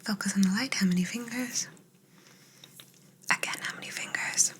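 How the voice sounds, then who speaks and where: A woman playing a curious, gently clinical alien in an ASMR roleplay, whispering soft, breathy instructions in a soothing, measured way with murmured hums. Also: medium pitch, lightly acted. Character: curious, gently clinical female alien examining an abducted human.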